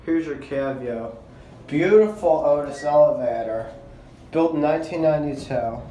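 A man's voice: a little high, warm tone, slight background noise. A young man narrating his own handheld walkthrough of a mall elevator, admiring the fixtures and remarking to himself as he goes. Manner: enthusiastic, slow and remarks to himself. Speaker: elevator video channel host. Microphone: handheld phone camera. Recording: echoey elevator with faint ambience.

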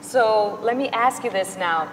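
A woman's voice: medium pitch, long reverb tail, a little hiss or hum, rough recording. Young woman hosting a studio interview. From United States. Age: young adult. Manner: measured, prompting questions with "you know". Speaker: talk show host. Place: indoor studio set. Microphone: lapel mic.

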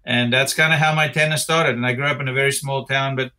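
Bright-voiced South African man on a video call. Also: medium pitch, fast. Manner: rambling, relaxed, many fillers and restarts. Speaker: interview guest, retired tennis player. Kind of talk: casual interview chat. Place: home office with framed pictures.

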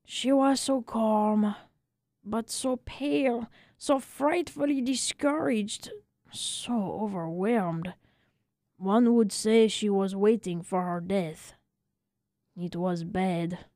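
A woman playing an excitable, tender-hearted little French barber telling his tale in a dramatic, emphatic way for a radio story. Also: mid-range voice, slow pace, no echo, clean studio sound. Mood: sorrowful, admiring.

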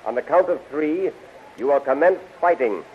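A man's voice: a little high, animated, normal speed, natural voice. A man playing a stern, commanding alien prince in theatrical 1930s radio serial style. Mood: menacing, stern. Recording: muffled, hissy 1930s radio recording.

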